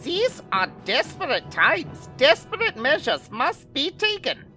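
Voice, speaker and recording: Pompous mad scientist, wildly swooping pitch, bright forceful tone, grandiose, audio drama villain. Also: male voice, huge pitch swings, unhurried, faint music behind, full character voice. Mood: indignant. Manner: grandiose, alliterative, theatrical.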